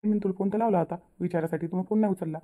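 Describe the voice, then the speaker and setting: A woman's voice: fairly low pitch, steady tone, very fast, natural voice. Woman acting a tinny-sounding, apologetic young son. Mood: defensive, apologetic. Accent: Vidarbha Marathi. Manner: pleading, explaining himself. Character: apologetic young newlywed son, placating and defensive. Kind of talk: cartoon family drama. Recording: tinny phone-like narrow audio.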